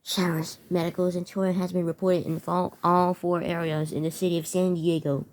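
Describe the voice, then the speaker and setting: A woman with a fairly low, very mellow voice reads out amateur emergency bulletins as a narrator. The delivery is halting, with words often stumbled over and said again. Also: flat delivery, slight background noise. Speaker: narrator of a fictional emergency alert scenario.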